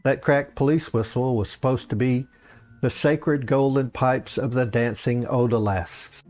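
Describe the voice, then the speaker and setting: A man's voice: medium pitch, darkish tone. A man reading scripted comic fantasy narration as a storyteller, in the manner of an author reading his own work.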